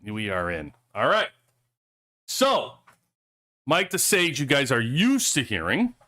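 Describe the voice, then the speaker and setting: Man, livestream host, bright forceful tone, rambling jokey chat, cheap headset mic. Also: medium pitch, wildly swooping pitch, slow. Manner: rambling, joking asides. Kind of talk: casual chat. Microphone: cheap headphones headset.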